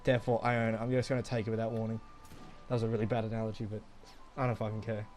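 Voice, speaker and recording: A man's voice: on the low side, flat delivery, rapid-fire, a little mellow. A young man casually telling a rambling, profanity-laden personal story to friends. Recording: music behind the voice. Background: background music.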